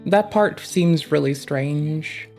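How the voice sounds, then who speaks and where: A woman's voice: low voice, takes it slowly, a little mellow, soft background music. A woman streamer giving a profane, rambling live commentary.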